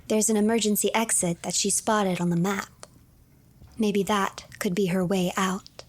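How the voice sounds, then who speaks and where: A woman streamer narrating a video game as a story, reading in a measured, formal storyteller delivery. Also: medium pitch, fairly even intonation. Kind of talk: scripted story narration, third person. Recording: clear background noise, game ambience.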